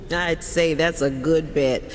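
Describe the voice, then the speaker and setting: An actor playing a sardonic demon in a video game, theatrical and teasing, with a languid drawl. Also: male voice, a little high, fairly even intonation, slow pace. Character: sardonic, drawling demon freed from a stone prison. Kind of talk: video game dramatic acting. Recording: long reverb, noisy ambience behind.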